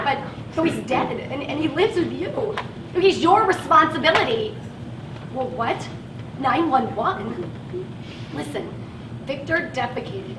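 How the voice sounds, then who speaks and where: A frazzled, pleading woman van driver in a stage play played by an actress. She speaks slowly and haltingly in a high voice. The recording is noisy and picked up from far off. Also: roomy sound. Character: overwhelmed, pleading woman driving a van of mental patients. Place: theatre stage, picked up at a distance.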